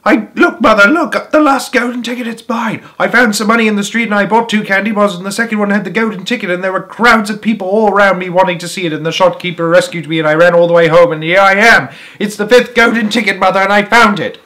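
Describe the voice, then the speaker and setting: A man voicing an excited young boy who blurts out his news in a rush, pitched high and shouting. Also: fast talker, few pauses. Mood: overjoyed.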